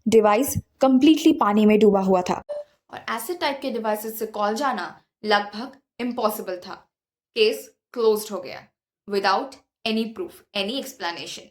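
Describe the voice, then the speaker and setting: A woman's voice: medium pitch, a little mellow. A woman hosting and narrating scripted paranormal stories in a dramatic, suspenseful way, mixing in English words. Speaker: video host and narrator.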